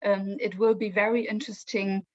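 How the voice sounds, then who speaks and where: A woman with a German accent speaks on a video call panel at a middle pitch. She talks carefully and breaks up her sentences with frequent fillers. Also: flat delivery, muffled recording. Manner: careful, many "um" pauses. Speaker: managing director, panelist.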